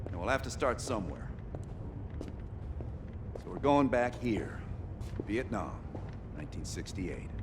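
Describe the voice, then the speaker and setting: An actor playing a grave, commanding CIA field officer in a video game, speaking tersely. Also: male voice, on the low side, very animated, takes it slowly, long pauses, background noise. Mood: businesslike. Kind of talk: cinematic video game voice acting. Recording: loud music behind the voice.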